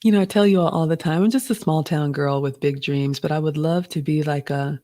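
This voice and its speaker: Slightly raspy woman chatting on stream. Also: low-pitched, a little fast, dark tone, no room sound, clean studio sound. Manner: chatty, reads viewer questions aloud. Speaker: live stream host. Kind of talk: casual live stream Q&A reading chat.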